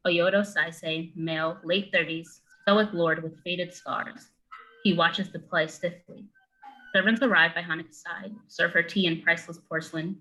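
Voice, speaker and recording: Bright, crisp young woman narrator, lowish pitch. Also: rough recording. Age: young adult. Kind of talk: scripted narration of stage directions.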